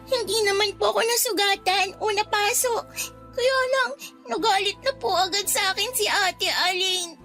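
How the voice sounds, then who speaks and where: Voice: very high-pitched, normal speed, bright, forceful tone, soft background music, full character voice. A performer voicing a frightened, apologetic young girl in a Filipino radio drama, polite and pleading. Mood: apologetic, meek.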